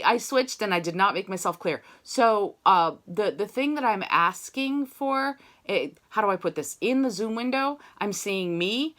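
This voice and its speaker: A woman's voice: medium pitch, a bit bright. A woman teaching an online singing lesson, coaching students and demonstrating sung scales on "ma". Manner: encouraging, demonstrates sung vowels.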